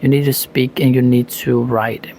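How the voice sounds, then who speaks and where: Argentine man with a gravelly, flat voice. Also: mid-range voice, normal speed, a little hiss or hum. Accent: Argentine Spanish. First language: Spanish. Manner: leans on "you know" and "um", restarts phrases. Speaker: language learning youtuber. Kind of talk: casual talking-head advice.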